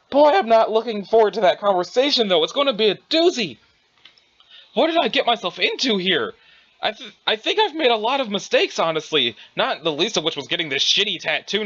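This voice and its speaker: Bumbling, self-absorbed young male adventurer played by a man, wordy and folksy, bright animated delivery for audio drama. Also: high voice, fairly quick, lo-fi sound, exaggerated voice. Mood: rambling, fretful. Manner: wordy, old-fashioned folksy exclamations, bumbling. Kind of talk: dramatized dialogue reading.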